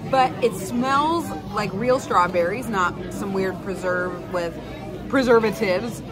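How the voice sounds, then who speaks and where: A woman in her thirties hosting a casual food review vlog, chatty and lively, filmed at arm's length in a busy indoor restaurant. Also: medium pitch, music in the background. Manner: chatty, lively, quick asides and laughs. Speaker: food vlogger host. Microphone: phone or camera at arm's length. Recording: noisy crowded restaurant. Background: crowd chatter.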